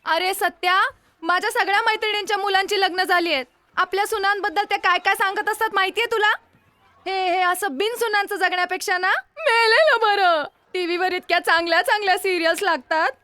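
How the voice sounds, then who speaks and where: A woman's voice: very high-pitched, fairly quick, pushed and bright, no room sound. A woman playing an exasperated, melodramatic middle-aged mother in comedy film dialogue, scolding and plaintive. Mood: exasperated, wailing.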